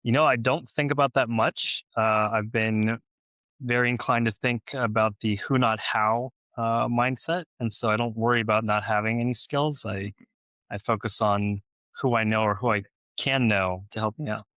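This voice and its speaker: A man's voice: lowish voice, steady tone, normal speed, fairly bright tone, no room sound. A man who grew up in Los Angeles, answering questions conversationally as an interview guest. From born in Ukraine, grew up in Los Angeles, United States. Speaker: podcast guest, real estate investor and former Navy officer.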